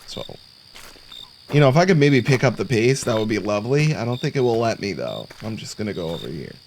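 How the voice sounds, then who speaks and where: A man's voice: fairly low pitch, big pitch swings, a little fast, gravelly, darkish tone, a little hiss or hum, rough recording. A man giving casual let's play commentary on a horror game, sarcastic and jokey as he mutters to himself. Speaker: gaming content creator. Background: horror game sounds of crickets and insects.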